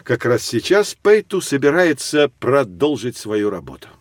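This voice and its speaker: An actor playing a patient, knowledgeable guide, explaining things to children in measured storytelling for an educational audio drama. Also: male voice, medium pitch, very animated, background noise. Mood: patient, informative. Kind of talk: scripted educational narration for children.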